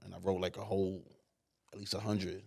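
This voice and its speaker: A man's voice: deep voice, little pitch movement, fairly quick, gravelly, darkish tone, husky. A man guesting on a podcast, talking in a laid-back way with loose slang. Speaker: comedian guest. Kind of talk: casual interview chat.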